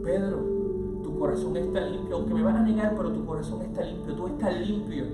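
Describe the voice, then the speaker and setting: A pastor preaching a sermon, swelling from quiet passages into loud, sung-out lines, with music playing underneath. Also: male voice, high voice, fairly quick, reverberant, recorded from a distance.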